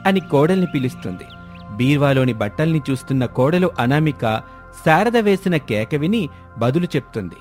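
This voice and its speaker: A man's voice: a little high, big pitch swings, moderate pace, darkish tone. A man reading a scripted story as its narrator, with music behind him.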